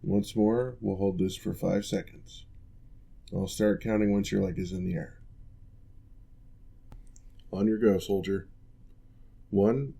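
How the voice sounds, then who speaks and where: Deep growly orc guy, a man putting it on, ex-general turned physio, calm and patient, dark voice. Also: creaky, breathy edge, heavily acted. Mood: instructive. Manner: calm, measured, patient instructions. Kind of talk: ASMR roleplay.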